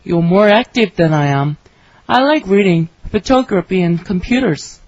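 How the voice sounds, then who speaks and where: A woman reading her part in a scripted English conversation for learners, with clear, careful delivery. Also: lowish voice, animated, moderate pace, a little mellow, no room sound. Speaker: dialogue reader for English learners. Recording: dry, slightly dull studio recording.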